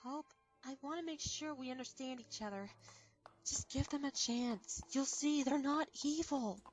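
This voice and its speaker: A woman voicing an earnest, trusting young pony in an animated fan dub, with a gentle, polite manner. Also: high-pitched, a little slow, far from the mic, faint music behind.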